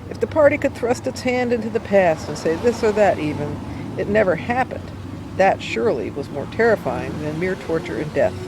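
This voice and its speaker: A woman reads an essay aloud outdoors, with deliberate, emphatic phrasing and pauses. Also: lowish voice, very animated. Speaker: amateur reader. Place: outdoors by a cafe in town. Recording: noisy outdoor street recording, traffic sounds. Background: urban outdoor ambience, vehicles.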